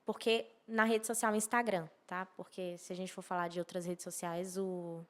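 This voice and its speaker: A woman's voice: on the low side, level tone, moderate pace. A woman explaining things conversationally as a podcast guest, emphatically and repeating key phrases. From Maceió, northeastern Brazil. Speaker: podcast guest, architecture and social media professional.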